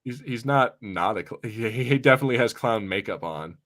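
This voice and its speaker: A man's voice: mid-range voice, animated, a little fast, a bit bright. A man co-hosting a podcast with casual, conversational banter about pop culture. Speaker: podcast co-host.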